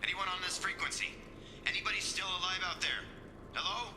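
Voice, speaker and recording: An actor playing an anxious technician in a video game, calling urgently for survivors through a radio effect. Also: male voice, high voice, fairly even intonation, a little fast, background noise. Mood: urgent, startled.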